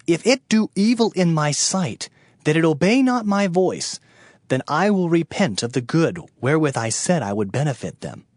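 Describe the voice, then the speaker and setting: A man giving a dramatized scripture narration as an audio Bible narrator, solemn and declamatory with deliberate phrasing. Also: a little high, dry recording, studio quality. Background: background music.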